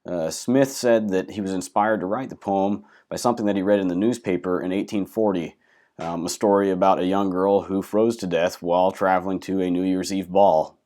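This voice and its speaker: A man hosting and telling a folk tale in an unhurried, dramatic storytelling manner. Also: sits low, a little mellow, no room sound, studio quality. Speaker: host and storyteller.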